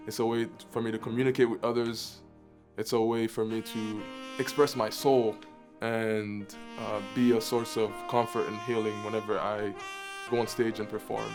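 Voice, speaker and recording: Earnest man over guitar music. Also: mid-range voice. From Haiti. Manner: earnest, reflective, repeats phrases for emphasis. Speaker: saxophonist. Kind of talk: recorded personal interview.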